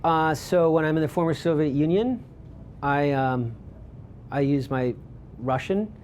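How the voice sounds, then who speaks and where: Man, relaxed presenter, somewhat high voice, loose and rambling with lots of ums. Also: unhurried, a little hiss or hum. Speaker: photographer giving a talk. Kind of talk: presentation with audience questions.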